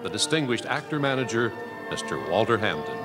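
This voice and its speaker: A man's voice: lowish voice, big pitch swings. Guy doing a big formal old-time radio announcer, swooping delivery, music blaring behind. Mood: grand, welcoming. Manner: stately, ceremonious, drawn-out delivery. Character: grand, formal 1940s radio announcer opening a drama show. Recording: live stage recording under loud music.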